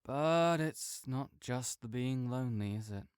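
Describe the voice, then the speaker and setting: A young man playing a caring, easygoing friend who speaks gently and reassuringly to the listener in an audio roleplay, with some soft joking. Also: medium pitch, expressive, a little slow, clean tone, dry recording, clean studio sound, natural voice.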